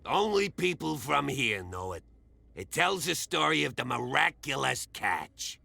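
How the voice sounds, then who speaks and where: An actor playing a proud, boastful island whaler in a video game, with a gravelly, sharp voice. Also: male voice, medium pitch, big pitch swings, unhurried, no echo, full character voice. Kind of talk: video game cutscene dialogue.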